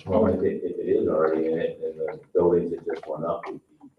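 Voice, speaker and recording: A man with a very deep voice and little pitch movement talks informally in a meeting. He is far from the mic, and the sound is dull and echoey. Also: flat delivery, a little fast, a little hiss or hum. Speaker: meeting participant. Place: indoor public meeting room. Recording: dull, echoey, distant microphone.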